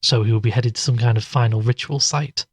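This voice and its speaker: A man playing a sceptical, weary investigator in an audio drama, measured and questioning as he talks and narrates. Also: mid-range voice, steady tone, breathy edge, no echo, lightly acted. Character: sceptical, weary male investigator recounting strange events.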